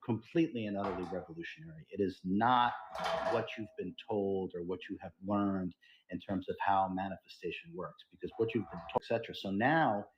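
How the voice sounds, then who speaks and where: A man's voice: deep voice, a little fast, muffled recording, noisy background. A man hosting a podcast, giving an earnest and emphatic spiritual teaching talk. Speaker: podcast host.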